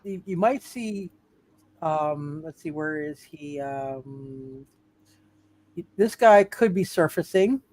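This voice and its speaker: A woman running an improvised tabletop roleplay as game master, halting and often repeating phrases as she narrates. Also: low voice, animated, darkish tone.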